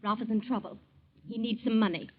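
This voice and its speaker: A woman's voice: on the high side, steady tone, a little fast, slight background noise, close to an everyday voice. A woman playing a scheming, cold-blooded young wife in a 1940s radio drama, insistent and urging. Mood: calculating. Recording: muffled 1940s radio recording.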